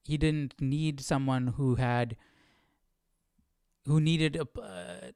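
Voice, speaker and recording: A man's voice: mid-range voice, slow pace, darkish tone, smooth, no room sound, studio quality. A man hosting a live Bible study stream, thinking aloud in casual, reflective commentary and checking in with listeners. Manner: thinking aloud, often asking "right?".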